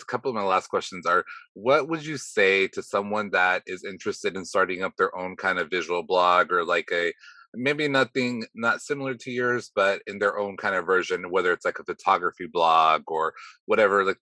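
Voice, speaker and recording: A man hosting a podcast interview, slightly hoarse, chatty and self-deprecating as he rambles through his questions. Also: sits low, moderate pace, pushed and bright, dry recording. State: slightly hoarse from heavy talking. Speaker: podcast host. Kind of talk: casual interview chat.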